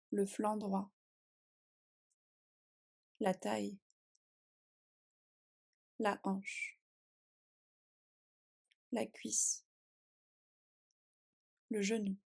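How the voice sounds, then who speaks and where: A woman leads a guided deep relaxation. Her pitch sits at a middle height and barely moves, with a noticeable creak in the voice. She gives calm, soothing instructions in short phrases, leaving long pauses between them. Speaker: yoga nidra meditation guide. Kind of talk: guided relaxation meditation, scripted.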